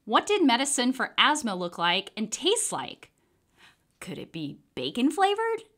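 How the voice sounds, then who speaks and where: Bright, animated woman reading a storybook. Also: fairly high pitch, unhurried. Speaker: storybook reader. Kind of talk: animated children's book reading.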